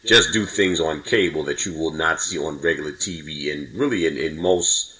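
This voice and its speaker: A man's voice: extremely low pitch, moderate pace, sharp tone, low-quality recording. A man talking casually as a podcast guest, giving hedging, roundabout explanations and recollections.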